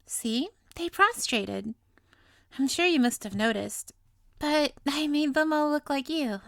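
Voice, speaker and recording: High-voiced, sweetly clingy young witch, played by a woman. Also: lively intonation, vocal fry, no room sound, studio quality, exaggerated voice. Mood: gleeful, proud. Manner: coy, doting, theatrical, drawn-out. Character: sweet, clingy, playful young witch, female, possessively lovestruck. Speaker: voice actor in an audio roleplay. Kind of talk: intimate scripted audio roleplay monologue.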